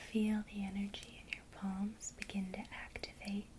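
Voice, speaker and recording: A woman whispering softly and calmly through a guided ASMR lesson on casting a spell. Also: medium pitch. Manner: soft unvoiced whisper, slow and calm. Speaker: ASMR creator teaching witchcraft.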